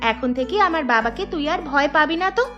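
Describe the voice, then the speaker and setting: Cartoon village girl, played by a woman: young, plucky, caring, very high voice, soft music behind. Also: lively intonation, brisk, dull audio. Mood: bold, reassuring. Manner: insistent, reassuring. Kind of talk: cartoon voice acting.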